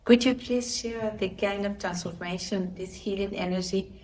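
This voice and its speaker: A woman's voice: medium pitch, fairly even intonation, moderate pace, a little mellow, slight background noise. A woman interviewer, politely introducing a guest and putting prepared questions.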